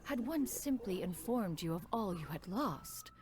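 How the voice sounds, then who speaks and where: An actress playing a dignified immortal crane adeptus and mentor in a video game, speaking in formal, archaic phrases. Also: female voice, mid-range voice, very animated, measured pace. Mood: solemn, revealing. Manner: formal, archaic, refers to self as "one". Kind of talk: scripted game character dialogue.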